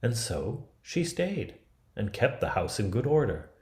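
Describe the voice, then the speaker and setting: A man in his fifties from Canada narrating a fairy tale as a storybook reader, with deliberate, dramatic phrasing. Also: mid-range voice, sing-song, darkish tone, echoey room. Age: 50s.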